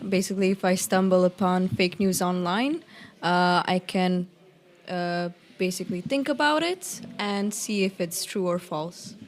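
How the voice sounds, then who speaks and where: A woman's voice: fairly low pitch, unhurried. A young woman from Croatia, speaking relaxedly in a podcast discussion and often saying "basically". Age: young adult. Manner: relaxed, says "basically" a lot. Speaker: student and youth worker.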